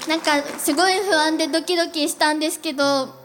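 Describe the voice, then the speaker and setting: A woman with a high voice gives thanks from a live stage. Music and crowd noise are clearly heard around her. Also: steady tone, fast talker. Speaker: cast member of a radio show. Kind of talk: thank-you speech on stage. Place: public event stage in a shopping complex.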